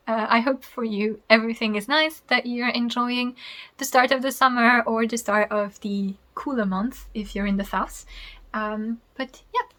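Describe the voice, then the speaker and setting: A woman in her thirties with a French accent, her first language French, talks to camera in a loose, rambling way and laughs often. Her voice sits a little high for a woman. She sounds like a knitting podcast host chatting at home. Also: fairly bright tone. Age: 30s. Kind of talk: casual chat to camera.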